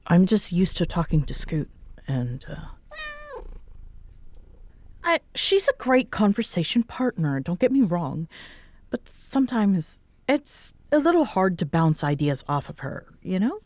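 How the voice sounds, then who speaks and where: Woman acting a tsundere roommate girl for roleplay audio, slow talking, dry close sound, guarded and wry but grudgingly warm, flusters easy. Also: fairly low pitch, very animated, no echo. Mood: grudgingly warm, teasing. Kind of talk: roleplay audio drama, conversational one-sided acting.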